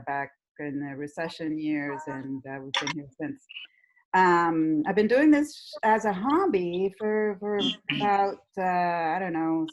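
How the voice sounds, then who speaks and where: A woman's voice: on the low side, slight background noise, low-quality recording. Woman, hesitant video-call chat. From Venezuela. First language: Spanish. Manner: hesitant, many fillers and restarts. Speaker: guest cookie baker.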